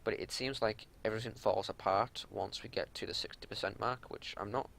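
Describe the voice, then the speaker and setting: Man, lowish pitch, heavy vocal fry, unscripted raid-leader commentary, exasperated matter-of-fact explaining. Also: fairly even intonation, a little fast, a bit bright, a little hiss or hum. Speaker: raid leader reviewing gameplay. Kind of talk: unscripted game analysis commentary.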